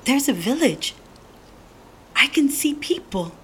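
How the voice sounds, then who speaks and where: A woman's voice: high-pitched, big pitch swings, slow pace, a bit bright, a little hiss or hum, heavily acted. A woman playing a curious, down-to-earth newcomer in a naturalistic audio drama, speaking casually and frankly. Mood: amazed.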